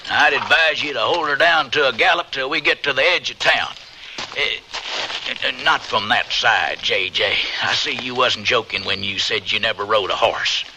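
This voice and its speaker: An actor playing a brash, folksy, teasing cowboy with an American West accent and drawling slang, in an old-time radio western. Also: male voice, mid-range voice, moderate pace, natural voice. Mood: friendly, teasing. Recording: noisy radio drama recording.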